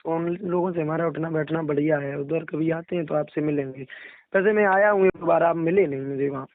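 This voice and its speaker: Casting: young man, higher-pitched, respectful explainer, conversational, heard down a narrow phone line. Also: a little fast, no room sound. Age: young adult. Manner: deferential, explanatory. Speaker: religious storyteller (kathavachak). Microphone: phone call. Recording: recorded phone call, narrow dry sound.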